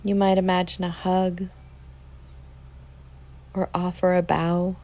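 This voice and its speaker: A woman leading a guided meditation in a soft, soothing way, leaving long pauses between phrases. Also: fairly low pitch, completely flat delivery.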